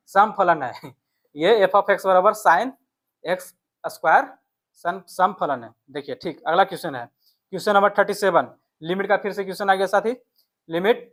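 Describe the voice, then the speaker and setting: Casting: maths teacher, mid-pitched voice, walking students through exam problems step by step with frequent check-in tags. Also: a bit bright. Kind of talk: exam-question lesson, explaining step by step.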